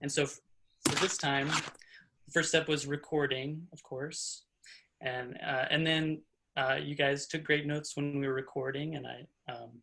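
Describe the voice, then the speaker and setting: Man, sound designer, bright and slightly high voice, casual halting explanation. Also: fairly even intonation, creaky, rough recording. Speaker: sound designer, panel guest. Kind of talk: casual explanation of work process.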